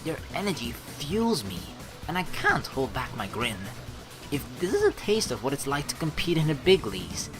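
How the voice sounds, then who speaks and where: A man reading a game aloud as a let's play host, with excitable commentary and dramatic narration. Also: on the high side, normal speed, music in the background.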